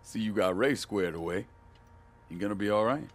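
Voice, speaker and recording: An actor playing a tough, cool American ex-soldier in a video game, terse and dryly sardonic. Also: male voice, on the low side, normal speed, a little hiss or hum, close to an everyday voice. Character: tough, cool male ex-soldier turned bounty hunter.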